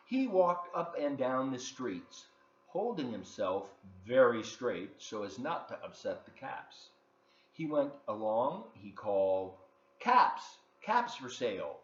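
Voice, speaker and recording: Male storytime reader, lively and expressive, addressing children directly; dull, roomy home recording. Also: lowish voice. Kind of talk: read-aloud storytime for kids, with asides. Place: living room at home.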